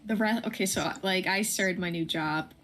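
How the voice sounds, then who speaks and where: Woman with a lowish voice chatting casually on a game stream, music playing along. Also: moderate pace, a bit bright. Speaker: co-host of a game livestream. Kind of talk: casual chat while gaming.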